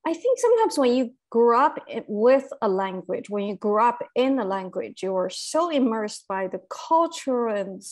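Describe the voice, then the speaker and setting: A middle-aged woman whose first language is Chinese, giving reflective interview answers over a video call from home, thoughtful and halting with small restarts. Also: fairly high pitch, sing-song. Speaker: author being interviewed. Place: home room. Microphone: earphone headset mic on video call.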